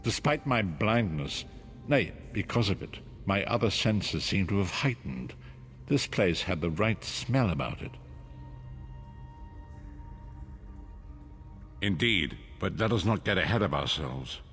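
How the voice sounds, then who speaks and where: An actor playing a frail, blind old prophet and mystic guide in a fantasy video game, speaking gravely in archaic, oratorical phrases. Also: male voice, low voice, very animated, normal speed, a bit bright, faint music behind. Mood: solemn, inviting. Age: older. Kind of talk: dramatic fantasy game voice acting.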